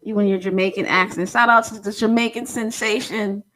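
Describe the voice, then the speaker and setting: A woman's voice: medium pitch, fairly even intonation, brisk, fairly bright tone. A woman hosting a live-streamed interview, speaking in a chatty, encouraging way. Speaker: host.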